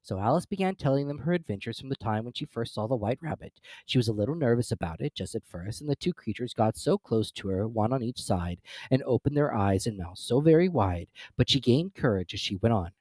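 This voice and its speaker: A man's voice: medium pitch, expressive, brisk, hardly any pauses, darkish tone, dry recording. A man narrating a children's story as a scripted dramatic reading.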